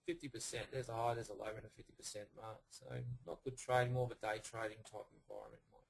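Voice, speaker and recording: Hoarse, gravelly man talking through charts. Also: lowish voice, flat delivery, fast, rough recording. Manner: clipped, thinking aloud over charts. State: hoarse, losing his voice. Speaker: trading instructor. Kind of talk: live market analysis for students.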